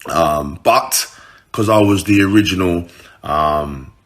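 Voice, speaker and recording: Man, British urban accent, very deep voice, forceful and bright, slangy casual storytelling. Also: slow pace. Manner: informal, slangy, sweary. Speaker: online comedian telling his story.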